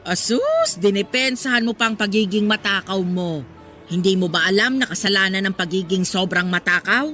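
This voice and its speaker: A woman's voice: medium pitch, big pitch swings, normal speed, a bit bright. A woman playing a nosy, chatty middle-aged barbecue vendor in a Tagalog radio drama, with a gossipy, scolding manner and a Filipino accent. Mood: teasing, preachy. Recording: music bed under the voice. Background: music.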